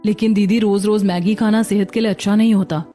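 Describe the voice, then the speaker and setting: A woman playing a sensible, patient young mother and daughter-in-law, gently reasoning in a Hindi cartoon story. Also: medium pitch, fairly even intonation, brisk, faint music behind, lightly acted.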